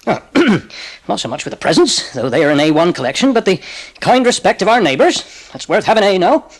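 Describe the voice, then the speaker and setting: An actor playing a proud, prickly English railway porter with a working-class accent and a blustering, plain-spoken manner, in a dramatised audiobook. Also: male voice, sits high, very animated, fairly quick, heavily acted. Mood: touched, softened.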